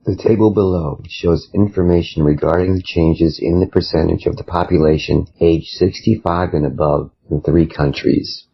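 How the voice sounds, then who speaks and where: Male essay reader, American accent, low husky voice, scripted lesson delivery, slightly dull lo-fi recording. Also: fairly even intonation, moderate pace, few pauses. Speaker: English test-prep reader. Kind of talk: scripted read-aloud of sample essay.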